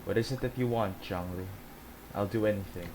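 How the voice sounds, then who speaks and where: A man's voice: fairly low pitch, brisk, background noise. A man streaming a game, casually reading dialogue choices aloud and reacting to them.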